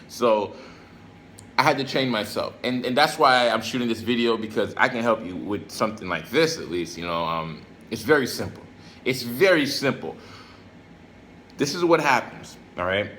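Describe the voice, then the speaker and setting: A man's voice: mid-range voice, animated, moderate pace, a bit bright, a little hiss or hum. An American man giving a casual motivational monologue to camera in streetwise slang, repeating phrases for emphasis. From Brooklyn and Florida, United States. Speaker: self-help video creator.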